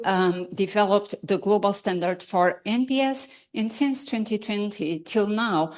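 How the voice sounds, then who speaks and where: A woman giving a conference keynote, pausing often with fillers and restarts. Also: medium pitch. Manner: hesitant, many fillers and restarts. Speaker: keynote speaker. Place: conference hall.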